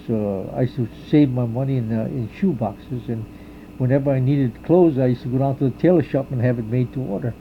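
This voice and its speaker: An older man from Hawaii answers interview questions, his voice gravelly with a rough edge of fry. He talks in a halting, reflective way, often pausing and starting over. The recording is muffled, with a faint hiss underneath. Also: mid-range voice. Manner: halting, reflective, many pauses and restarts. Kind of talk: oral history interview.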